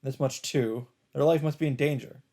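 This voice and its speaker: A man reads visual novel narration aloud as a let's play narrator. His voice sits at a middle pitch, with a measured storytelling delivery. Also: steady tone, warm tone.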